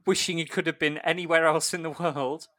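A man's voice: a little high, fairly even intonation, fairly quick, bright, forceful tone, no room sound, clean studio sound. A man telling an embarrassing personal story in casual chat, laughing at himself along the way. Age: adult. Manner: self-mocking, laughing often through the tale. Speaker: guest storyteller. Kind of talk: casual anecdote.

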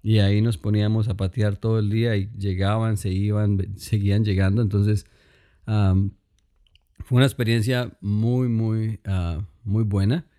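A man's voice: on the low side, little pitch movement, a little slow, mellow. A man in his thirties from Colombia with a Mexican-influenced Spanish accent, telling his story casually as an interview guest, with frequent fillers and restarts. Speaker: guest, music producer.

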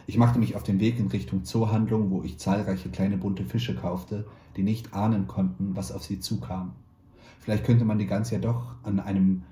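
A man reading a short story aloud in a calm, measured way, lightly voicing the dialogue. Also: low-pitched, roomy sound. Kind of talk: literary reading.

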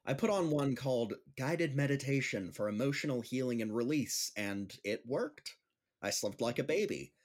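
A man's voice: mid-range voice, animated, moderate pace, lightly acted. A man playing a kindly, insecure gym teacher and amateur comedian, speaking hesitantly and earnestly in an audio drama. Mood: nervous comic routine. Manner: hesitant, earnest, self-deprecating. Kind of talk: scripted audio drama acting.

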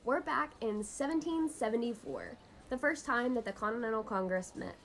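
A woman's voice: a little high, moderate pace, creaky, slight background noise. A woman plays a young woman in an amateur radio play, chatting with a friend over lunch. She speaks in a patient, explanatory way, as if teaching through scripted dialogue.

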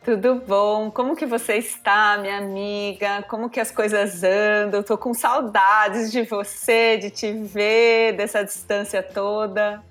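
A woman's voice: medium pitch, fairly even intonation, measured pace, few pauses, fairly bright tone, faint music behind. A woman co-hosting a podcast, greeting her co-host in a friendly, chatty way. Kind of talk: casual podcast chat.

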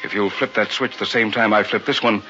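A man's voice: lowish voice, fairly even intonation, a little fast, bright, forceful tone, slight background noise. An actor playing a pompous, evasive carnival showman and hypnotist in a radio horror drama. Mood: businesslike, careful. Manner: grandiose barker patter, curt when challenged. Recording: old muffled radio recording with music.

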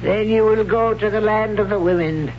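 An actor playing a stern, zealous elderly high priest who speaks solemnly and commandingly, in a 1970s radio drama. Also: male voice, normal speed. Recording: dull lo-fi old radio broadcast with hiss.

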